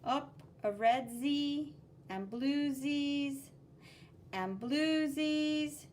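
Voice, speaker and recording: A woman with a very high voice reads a picture book aloud for children as an art teacher. She takes her time, stressing key words in a sing-song way and explaining the drawings simply. There is faint noise behind her. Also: very slow, a little mellow. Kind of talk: expressive read-aloud with drawing commentary for children.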